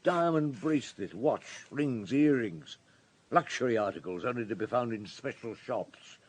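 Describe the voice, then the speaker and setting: A man acts a police superintendent in a radio drama. He is calm and methodical, with a dry, wry delivery and a medium-pitched voice. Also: close to an everyday voice. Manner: measured, wry, murmuring hums. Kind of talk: British radio drama acting.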